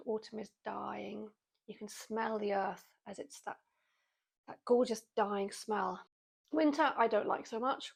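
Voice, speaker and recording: A woman's voice: fairly high pitch, creaky. A British woman in her forties, chatting casually to camera with wry, self-mocking asides. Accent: British English. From Britain. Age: 40s. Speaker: vlogger.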